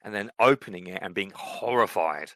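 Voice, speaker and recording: Male podcast co-host in his thirties; low, forceful voice, reflective and rambling. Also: normal speed, no room sound. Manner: reflective, rambling with many fillers and restarts. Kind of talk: casual chat.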